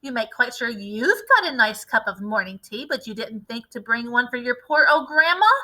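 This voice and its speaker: A towering old grandmother, spiteful and greedy, barks every order in a shrill, piercing voice, as a woman reading a storybook puts her on. The tone is bright and sharp, pitched above an ordinary woman's. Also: lively intonation, normal speed, rough recording. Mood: shrill scolding. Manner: loud, shrill, demanding.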